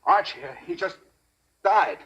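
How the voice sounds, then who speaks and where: An actor playing a jumpy, brash young American robber with a raspy voice in an old radio crime drama. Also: male voice, high-pitched, sing-song, slow pace, dull audio, full character voice. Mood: nervous.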